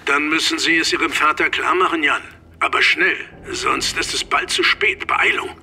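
Lecturing scientist, phone call, very bright, husky, explanatory, radio drama. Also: male voice, on the high side, moderate pace, heavily acted. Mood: explaining. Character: brisk, lecturing male scientist. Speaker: professor, scientist. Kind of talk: German radio drama acting.

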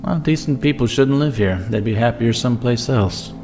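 An actor playing an arrogant, cold gangster in an audio drama, smug and dismissive. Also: male voice, mid-range voice, normal speed, lightly acted. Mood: smug contempt. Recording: music behind the voice.

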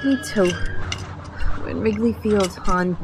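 A woman's voice: mid-range voice, slow, natural voice. A woman playing a resigned, matter-of-fact captive in an audio drama. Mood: resigned, bewildered. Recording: clear background noise.

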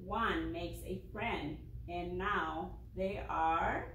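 Preschool teacher woman, very slow and drawn out, recorded from across an echoing classroom. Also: on the low side, fairly bright tone, a little hiss or hum. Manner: slow, deliberate, drawn-out words for small children. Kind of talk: slow circle-time teaching and read-aloud. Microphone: far camera. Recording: echoey classroom from a distant camera.

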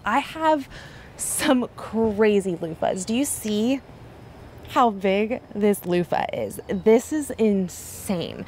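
A woman's voice: mid-range voice, slight background noise. Chatty young woman touring her garden. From Kansas. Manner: enthusiastic, chatty, exclaims often. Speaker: garden vlogger. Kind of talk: casual garden tour. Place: outdoor backyard garden. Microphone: handheld phone camera.

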